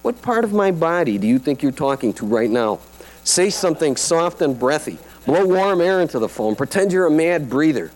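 A man's voice: a little high, big pitch swings, moderate pace, darkish tone, pitched above the performer's own voice, rough recording. A man reading as a giddy, flirtatious woman caller. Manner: teasing, flirtatious, pleading. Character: giddy tripping woman, ex-lover on phone. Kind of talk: live reading of fiction.

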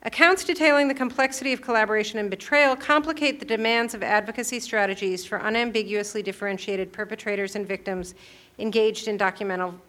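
A woman presenting her research at a panel, reading a prepared paper in a measured, formal way. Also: mid-range voice, a bit bright. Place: lecture room at a panel event.

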